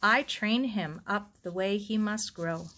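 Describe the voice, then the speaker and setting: A woman voicing a calm, devout elderly Japanese gardener who speaks slowly in broken English. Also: mid-range voice. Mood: calm, instructive. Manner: slow, broken English with dropped articles.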